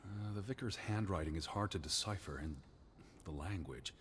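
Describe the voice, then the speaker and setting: An actor playing a stern, learned 1920s American naturalist in a video game, earnest and skeptical, speaking precisely and formally. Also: male voice, deep voice, normal speed, slight background noise, natural voice. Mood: grave, thoughtful.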